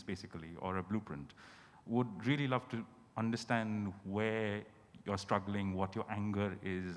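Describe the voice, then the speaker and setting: A man with a fairly low voice questions panelists at a literary event. He is polite and wordy, feeling for his phrasing as he speaks. The room gives a long, echoing reverb. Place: large indoor event hall at a hotel.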